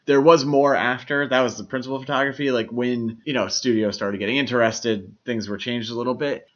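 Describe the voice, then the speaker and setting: The audio is a little dull and lo-fi. A man with a mid-pitched voice co-hosts a casual film podcast. He talks in an explanatory, opinionated way. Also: steady tone, brisk. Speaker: podcast co-host.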